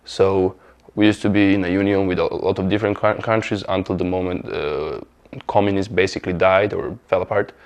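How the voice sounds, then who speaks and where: Gravelly young man with Serbian accent. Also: sits low, fairly even intonation, a little fast, darkish tone. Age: young adult. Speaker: international student interviewee. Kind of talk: conversational explaining.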